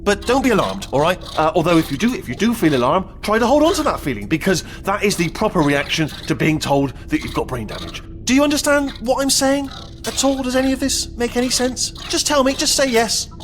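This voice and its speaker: An actor voicing a chatty, panicky, bumbling robot in a video game, with a British accent and a rambling, stammering delivery that keeps backtracking. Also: male voice, high-pitched, sing-song, brisk, a little hiss or hum. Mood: nervous, chatty. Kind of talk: comic video game character acting.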